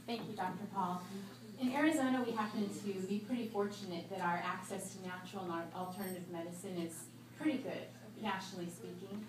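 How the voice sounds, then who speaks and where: A woman's voice: medium pitch, fairly quick, hardly any pauses. A woman speaking spontaneously from the audience as she puts a question to a speaker. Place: hotel banquet room, indoors. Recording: echoey room from far off, noisy.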